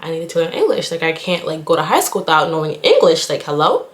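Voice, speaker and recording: A woman's voice: low voice, sing-song, quick pace. A young woman from Haiti, a nursing student, chatting casually while getting ready and rambling with lots of "like". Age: young adult. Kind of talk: casual get-ready-with-me chat. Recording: echoey room.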